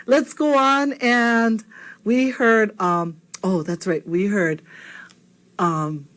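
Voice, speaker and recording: A woman's voice: mid-range voice, fairly even intonation, very slow, fairly bright tone. A woman teaching vocabulary in a casual video lesson. She keeps checking in with the learner, asking "okay?" after nearly every point. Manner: chatty, checks in with "okay?" constantly. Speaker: Hawaiian language teacher.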